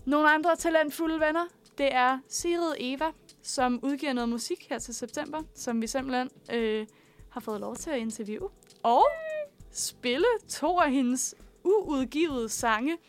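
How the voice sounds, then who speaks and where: High-voiced female radio co-host. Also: faint music behind. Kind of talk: casual chat.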